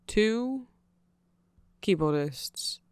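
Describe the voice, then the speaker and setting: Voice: medium pitch, lively intonation, extremely slow, clear, resonant tone, no room sound. A person giving a chatty, rambling ASMR-style fan explainer in a playful, informal way. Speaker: ASMR creator explaining band lore.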